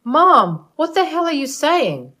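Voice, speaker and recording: A woman's voice: lowish voice, sing-song, a little slow, fairly bright tone. A woman voicing an angry, protective grown son who speaks firmly and sternly. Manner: firm, stern.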